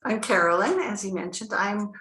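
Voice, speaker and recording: A woman's voice: medium pitch, lively intonation, moderate pace, a bit bright, slight background noise. A woman from the United States with an American accent, giving a chatty, casual presentation at a webinar. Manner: chatty, casual, with frequent "you know". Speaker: nursing director, webinar speaker. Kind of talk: conversational presentation. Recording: echoey room.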